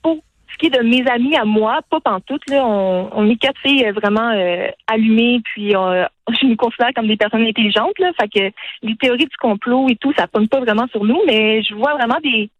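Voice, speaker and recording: A woman's voice: on the high side, dull audio. A teenage girl with a Quebec French accent, a radio interview guest talking earnestly, often tagging her sentences with "là". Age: teens. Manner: earnest, fast-talking, frequent "là" tags.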